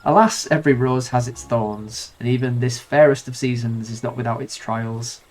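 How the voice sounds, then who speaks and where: A man's voice: medium pitch, steady tone, normal speed, a little mellow, close to an everyday voice. A man with a nasal cold voicing a grand, romantic noblewoman in a game, reading her lines in flowery, theatrical speech. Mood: grandiose.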